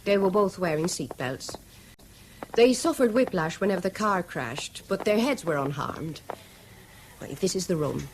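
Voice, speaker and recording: An actress playing a firm, protective hospital nursing sister, businesslike, in a radio drama. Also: female voice, lowish voice, lively intonation, normal speed, darkish tone, close to an everyday voice, rough recording. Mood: firm, brisk. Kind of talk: naturalistic radio drama acting. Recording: radio drama with faint noise.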